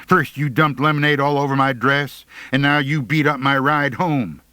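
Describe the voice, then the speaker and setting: A man voicing a mean, snappish teenage backwoods girl with a squeaky little voice in an audiobook story. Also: fairly even intonation, normal speed, pushed and bright, no room sound, natural voice. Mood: accusing. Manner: snapping, curt, rustic grammar.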